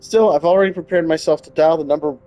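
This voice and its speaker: A man's voice: fairly high pitch, steady tone, fast, dark tone, soft background music. A man giving casual gameplay commentary and reading story text aloud, often stumbling and rereading. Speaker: gaming streamer.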